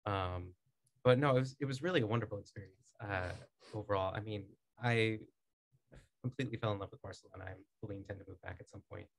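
A man in his thirties from the United States, a podcast guest speaking in a measured, articulate way with frequent "um"s, recorded on a studio boom mic in a small foam-lined studio. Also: creaky, rough recording. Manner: measured, articulate, many "um"s and restarts. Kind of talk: casual interview talk.

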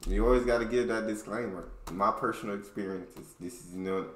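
A man's voice: lowish voice, a little fast, a bit bright, slight background noise. Laid-back slangy young man, roomy sound. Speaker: reaction video host. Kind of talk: casual reaction commentary. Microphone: headset.

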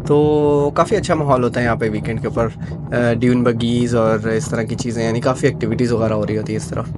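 A man in his thirties, a motorcycle vlogger, chatting in a relaxed way while riding on a highway and mixing English words into his talk. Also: a little fast. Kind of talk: casual travel narration while riding. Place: on a motorcycle on a desert highway, outdoors. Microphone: helmet or action-camera mic. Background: faint wind and engine noise.

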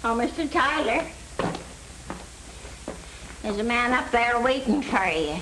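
An older landlady with a highish voice, grumbling in 1930s movie style. The old soundtrack carries a faint crackly hiss. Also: animated, slow pace. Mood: irritated. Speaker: film actress. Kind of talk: 1930s Hollywood film acting.

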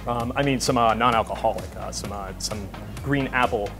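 A man's voice: medium pitch, normal speed, over music. A man in his thirties, a bartender, explaining a drink recipe with many uhs. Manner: hesitant, filled with uhs. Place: bar indoors.

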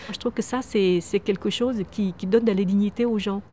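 A woman's voice: mid-range voice, steady tone, fairly quick, dark tone, a little hiss or hum. A woman in her fifties from Vietnam, answering interview questions outdoors in an earnest way, often restarting and repeating words. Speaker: founder of a microcredit charity. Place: outdoors on a wooden terrace among trees.

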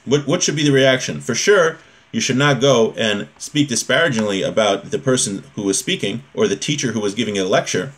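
A man's voice: mid-range voice, fairly quick, fairly bright tone, poor audio. A man, giving an informal religious lesson in a conversational, explanatory way. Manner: conversational, often checks in with rhetorical questions. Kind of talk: informal lecture, reading a text and explaining it. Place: small home room with bookshelf.

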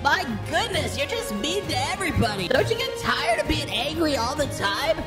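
A woman's voice: high-pitched, sing-song, normal speed, loud music behind the voice. A woman voicing a laid-back young skateboarder who talks casually and bluntly. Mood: exasperated. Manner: casual, easily bored, blunt.

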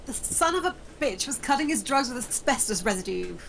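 A woman's voice: on the high side, sing-song, a little fast, creaky, a bit bright, heavily acted, rough recording. Female reader as young woman, weary and defiant, bitter edge; audible background noise. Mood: weary, defensive. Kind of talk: script table read.

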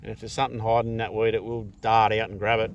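Middle aged aussie bloke talking through fishing stuff casually, unhurried, out on the water with background noise. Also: male voice, on the low side, flat delivery, highs cut off. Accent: Australian. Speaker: fishing vlogger. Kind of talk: casual tutorial chat while fishing. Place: outdoors in a kayak on open water.

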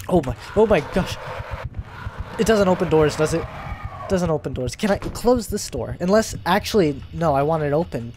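A young man with a stuffy nose, chatting and reacting out loud to scares as he plays a horror game at home. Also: on the high side, animated, moderate pace, background noise. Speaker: gaming YouTuber. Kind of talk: horror game let's play commentary. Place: home gaming room, indoors.

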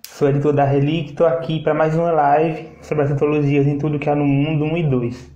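A man's voice: on the high side, little pitch movement, brisk, dark tone. A man, an editor, hosting a casual live chat about anthologies over a video call. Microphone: laptop or phone on a video call. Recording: roomy, dull video-call audio.

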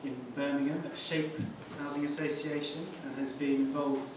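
A man's voice: mid-range voice, flat delivery, moderate pace. A man in his forties chairing an event, introducing speakers in a semi-formal, slightly hesitant way. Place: conference room, indoors. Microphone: lectern mic, picked up by distant camera. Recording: very echoey room, distant, noisy background.

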